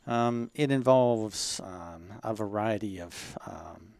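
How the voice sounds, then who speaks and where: A man in his sixties from Ontario, answering interview questions in a measured, hesitant way with many ums. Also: on the low side, gravelly, a little mellow, no echo. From Ontario, Canada. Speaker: guest, ergonomist.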